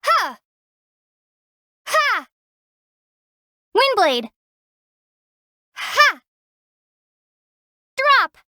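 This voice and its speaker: A young wandering heroine for a video game. Her voice sits high and calm, and she explains things with dry, teasing wit. It is recorded close and dead dry in a studio. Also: no echo, heavily acted. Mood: fierce battle cries. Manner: dry teasing explanations and sharp battle shouts. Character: young female traveler hero, calm and wry.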